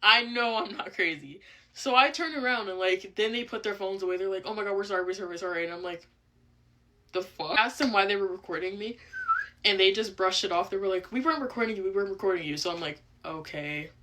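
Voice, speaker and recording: A woman's voice: medium pitch, fast, bright, forceful tone, a little hiss or hum. A young woman telling a casual personal storytime in informal speech that can be broken by vocal tics. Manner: vocal tics interrupting speech with sudden repeated phrases.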